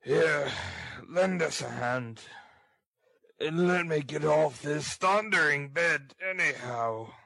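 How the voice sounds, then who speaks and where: Man acting a savage, growling housebreaker, loud and raised. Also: on the high side, slow, gravelly, no echo, studio quality, voice pushed up, heavily acted. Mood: weak, irritable. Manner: growling, barking, cursing. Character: brutal, ill-tempered housebreaker, savage and impatient man.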